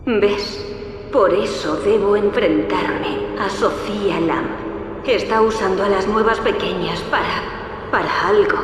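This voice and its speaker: Game guide played by an actress: grave, guilt-laden woman, mid-pitched, music underneath. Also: takes it slowly, lightly acted. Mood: grave, remorseful. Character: grave remorseful woman guiding a lost guardian. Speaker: guide and ally. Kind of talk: dramatic video game dialogue.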